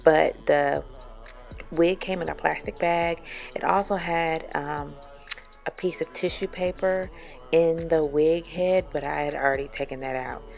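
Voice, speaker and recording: A young woman talking through a wig review and bleaching tutorial step by step, her voice raspy as she gets over being sick. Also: deep voice, a little slow, faint music behind. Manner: steady explaining, step-by-step with fillers. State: raspy from recovering illness. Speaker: beauty vlogger.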